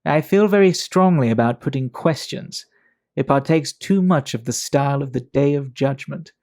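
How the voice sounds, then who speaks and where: A man playing a worldly, talkative Victorian English gentleman in an audio drama, speaking in a measured, gossipy, fastidious way. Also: mid-range voice, lightly acted. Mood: measured, confiding.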